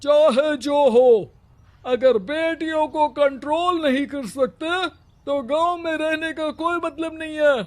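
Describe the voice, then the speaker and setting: A man's voice: very high-pitched, slow. A man playing a harsh village headman. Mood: stern. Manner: harsh, commanding. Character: stern domineering village headman.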